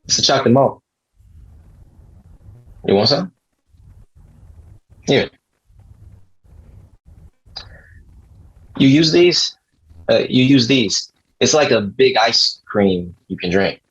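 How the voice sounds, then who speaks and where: A man playing an awkward, earnest driver in a screenplay table read, speaking in a halting, gentle, explanatory way. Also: medium pitch, breathy edge. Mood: friendly. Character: awkward, earnest male driver Chaz, kindly conspiracy believer. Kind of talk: table read of a film script. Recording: dull, slightly muffled audio.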